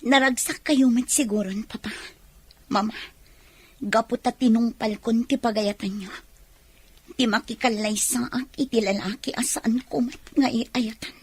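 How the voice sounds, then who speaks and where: A woman's voice: on the high side, steady tone, measured pace, a little mellow, breathy edge. A woman acting a sorrowful, obedient daughter in an emotional Ilocano radio drama. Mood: resigned, sad. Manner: emotional, lamenting. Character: sorrowful obedient daughter whose dream is ruined.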